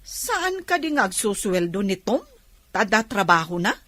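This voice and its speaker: A woman's voice: medium pitch, big pitch swings, moderate pace, fairly bright tone, dry recording. A woman with an Ilocano accent playing a nosy, pushy middle-aged neighbour in a radio comedy drama, mocking and wheedling. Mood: pushy, scoffing. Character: nosy, pushy older neighbour woman, always asking loans.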